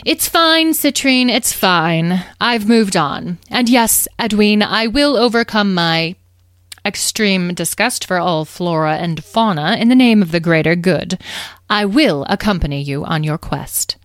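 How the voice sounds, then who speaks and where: A woman's voice: medium pitch, lively intonation, a little slow, no room sound, studio quality, natural voice. A woman voicing a bossy, snobbish fairy leader in a storybook, with grandiose, emphatic phrasing and biting wit. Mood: grandiose, teasing. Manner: grandiose, gushing, emphatic, sarcastic.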